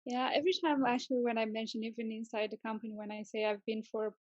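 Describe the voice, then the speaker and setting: High-voiced woman. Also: level tone, fast talker, a little mellow, no room sound, dull audio. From Romania. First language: Romanian. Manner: hesitant, searching for words, enthusiastic. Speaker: interview guest, tech manager. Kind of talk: casual interview answers.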